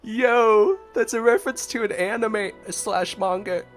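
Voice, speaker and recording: A man's voice: high voice, measured pace. A man giving casual, slangy, chatty reaction commentary as he plays a video game. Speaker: gaming streamer. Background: faint game music.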